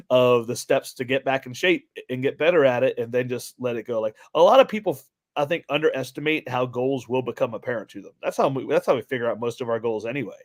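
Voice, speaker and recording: A man co-hosting a podcast, telling things in a casual, rambling way and often quoting himself as he goes. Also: mid-range voice. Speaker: podcast co-host, fitness coach. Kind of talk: casual conversational storytelling.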